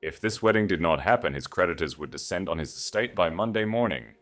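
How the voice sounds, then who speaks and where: Male storyteller, deep voice, very animated intonation, dramatic scripted narration, music underneath. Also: fairly quick. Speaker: audiobook narrator.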